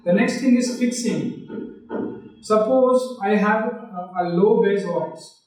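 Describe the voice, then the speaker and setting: A man teaches film direction in a live online lecture. His voice sits fairly high for a man, and he explains patiently, often checking in with "right?". The sound is very echoey and a little distant from the mic. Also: takes it slowly, a little mellow. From India. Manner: explanatory, asks listeners rhetorical questions.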